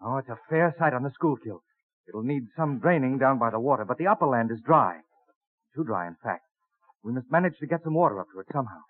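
Old radio play: male actor as young Quaker botanist husband, enthusiastic, expressive, theatrical; narrow vintage sound. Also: medium pitch, fast, natural voice. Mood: excited, dreamy. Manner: earnest, enthusiastic, theatrical delivery with archaic thee and thy. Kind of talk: mid-century American radio drama acting. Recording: tinny, band-limited vintage radio recording.

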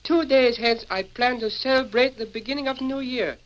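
An actor playing a dignified Chinese immigrant in an old-time radio western, speaking in stilted, formal, proverb-like phrases. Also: male voice, very high-pitched, normal speed, low-quality recording. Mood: solemn, polite.

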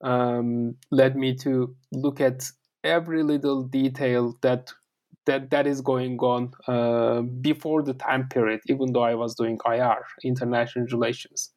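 A man's voice: medium pitch, flat delivery, a little slow, darkish tone. A man from Turkey with a Turkish accent, a guest author on an interview podcast, talking in a rambling way with many fillers and repeated words.

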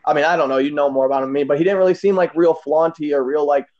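A man's voice: on the high side, steady tone, very fast, a little mellow. Midwestern male host, casual swearing chat, dull lo-fi audio. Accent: Midwestern American. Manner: loose, rambling, swears casually.

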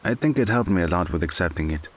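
A man acting a gentle, patient friend in an audio drama, consoling in a measured, coaxing way. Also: low-pitched, expressive. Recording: clear background hiss.

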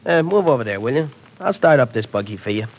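A man's voice: medium pitch, sing-song, quick pace, noisy background, close to an everyday voice. An actor playing a brash, streetwise young man who talks in slangy tough-guy wisecracks, in 1940s radio acting style. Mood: teasing.

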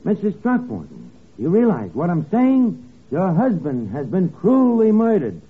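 An actor playing an eager, dim detective's sidekick in a 1950s radio comedy spoof, narrating with deadpan mock drama. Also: male voice, high-pitched, unhurried, full character voice. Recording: tinny, band-limited old radio recording with hiss.

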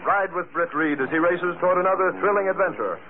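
An actor as a grave, emphatic announcer narrating an old radio thriller in a measured, dramatic style. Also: male voice, high voice, lightly acted. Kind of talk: 1940s radio scripted narration. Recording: tinny, noisy old radio recording.

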